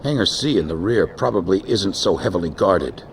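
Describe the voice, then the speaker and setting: A weathered older military mechanic in a game cutscene, with a deep voice. He speaks in measured, matter-of-fact phrases, calm and a little wry, over faint background noise. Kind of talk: dubbed game cutscene drama.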